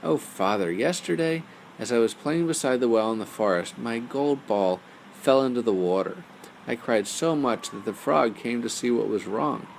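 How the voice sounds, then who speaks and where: Man playing young storybook princess, eager, anxious, spoiled, creaky edge, faint noise behind. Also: mid-range voice, normal speed, close to an everyday voice, rough recording. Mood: upset explaining.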